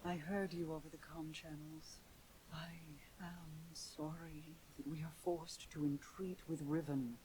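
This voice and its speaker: A woman's voice: low-pitched, little pitch movement, measured pace, dark tone, a little hiss or hum, poor audio. An actress playing a regal, sorrowful queen and protective sister, solemn, formal and restrained, in a video game cutscene. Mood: solemn regret.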